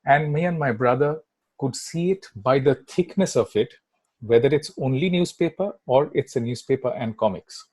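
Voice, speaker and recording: Husky middle-aged Indian English man. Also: mid-range voice, moderate pace, darkish tone, dry recording. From Kolkata, India. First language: Bangla. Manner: rambling, many fillers and "right?" tags. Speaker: guest filmmaker. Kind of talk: casual interview chat.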